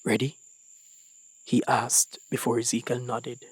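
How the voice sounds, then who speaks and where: A man reading a short story as scripted dramatic narration, with deliberate phrasing and dramatic pauses. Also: medium pitch, little pitch movement, no room sound. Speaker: story reader.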